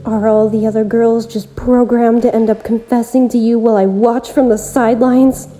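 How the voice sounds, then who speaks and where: A woman voicing a lonely, obsessive, self-aware visual novel game girl who speaks gently and intimately, straight to the listener, in an amateur voice-acted monologue. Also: a little high, flat delivery, a little hiss or hum, lightly acted, poor audio. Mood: bitter, pleading. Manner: gentle, deliberate, intimate direct address.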